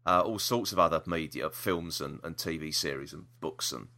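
A man's voice: rumbling low, normal speed, vocal fry, sharp tone. A man chatting casually as a podcast co-host, enthusiastic and repeating himself for emphasis. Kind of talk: casual review chat about audio dramas.

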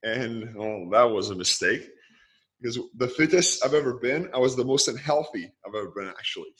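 A man from Lithuania, a coach talking as a podcast guest, quickly listing ideas one after another. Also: mid-range voice, lively intonation, poor audio. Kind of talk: casual podcast interview.

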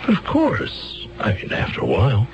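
An actor in a 1970s radio drama playing an earnest, flustered defense lawyer who hesitates and stammers fussily. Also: male voice, mid-range voice, wildly swooping pitch, moderate pace. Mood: flustered, coaxing. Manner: hesitant, stammering, throat-clearing, fussy. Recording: muffled old radio, music behind.

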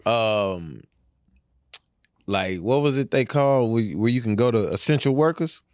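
A man's voice: medium pitch, expressive, measured pace, darkish tone, smooth, dry recording, clean studio sound. A man co-hosting a casual podcast, talking in a slangy, emphatic way. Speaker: podcast co-host. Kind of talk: casual banter.

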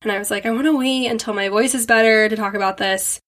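A woman's voice: a little high, fairly even intonation, quick pace, fairly bright tone. A young woman with a stuffy head cold, chatting casually and rambling as a podcast host, giggling often. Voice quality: nasal, stuffy. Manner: bubbly, giggly, rambling with lots of "like".

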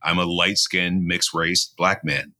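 Male podcast guest, Midwestern, very low pitch with a bright edge, earnest and halting. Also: fairly even intonation. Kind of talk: casual podcast chat.

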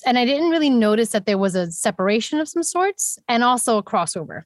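Animated, laughing woman guest. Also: fairly high pitch, fairly quick, no room sound, studio quality. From New York and Puerto Rico. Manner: animated, laughs often, spiritual talk. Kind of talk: casual podcast conversation.

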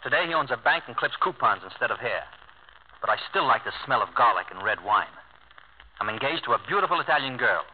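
A man's voice: mid-range voice, expressive, brisk, husky. An actor playing a brash, blunt, self-assured young male lawyer in 1950s radio drama style, curt, tough and wisecracking. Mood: cocky, forceful. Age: young adult. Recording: narrow-band 1950 radio broadcast.

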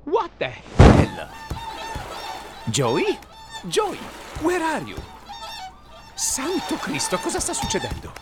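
Excitable, exasperated Italian man. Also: very high voice, takes it slowly, pauses often, heavily acted. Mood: alarmed, irate. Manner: scolding, exclamatory, lapses into Italian. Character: exasperated Italian man, grumpy roommate woken by chaos. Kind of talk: audio comedy acting.

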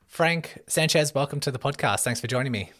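A man hosting a podcast, talking in a relaxed way with plenty of fillers and loose phrasing. His voice sits in a middle range and is picked up dry and close on the mic. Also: mid-range voice, fairly quick, dry recording. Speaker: podcast host. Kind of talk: podcast interview.

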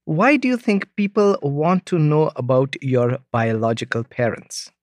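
A man's voice: fairly high pitch, unhurried, dry recording. Thoughtful man podcast co-host, dry sound. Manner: thoughtful, careful, affirming. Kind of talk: interview hosting.

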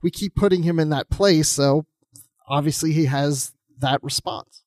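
A man with a fairly high voice co-hosts a podcast discussion. He speaks casually and offers his opinions freely. The sound is close and dry, with no audible room. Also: measured pace, a little mellow, no echo. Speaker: podcast co-host.

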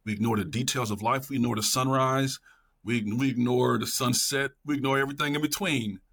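A man's voice: mid-range voice, steady tone, a little fast, vocal fry, a bit bright, breathy edge. A middle-aged man talking casually and passionately as an interview guest, emphatic and repeating phrases for effect. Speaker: podcast guest, educator.

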